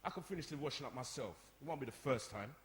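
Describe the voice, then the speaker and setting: A man's voice: mid-range voice, quick pace, slight background noise, natural voice. Man acting chiding big brother, hall reverb. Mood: resentful. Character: exasperated older brother, outspoken, teasing, self-righteous. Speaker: actor in a radio drama. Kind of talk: radio soap acting before a live audience. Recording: echoey hall, long reverb.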